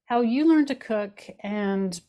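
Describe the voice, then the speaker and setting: A woman co-hosting a cooking podcast, explaining things in a relaxed, chatty way. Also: fairly high pitch, slow. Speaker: podcast co-host. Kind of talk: casual podcast conversation.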